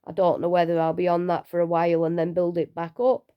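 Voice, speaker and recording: A woman, unwell and low on energy, talking casually and rambling a little in a personal vlog from home. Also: monotone, fast, smooth, highs cut off. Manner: chatty, rambling, self-deprecating with small laughs. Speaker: vlogger sharing her cancer treatment.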